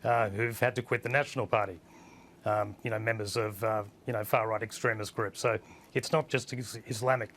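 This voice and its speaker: A man with a husky voice, a guest on a TV panel, plain-spoken and hesitant with many fillers. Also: on the low side, steady tone, fairly quick, a bit bright, a little hiss or hum. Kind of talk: live TV panel discussion. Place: remote studio link.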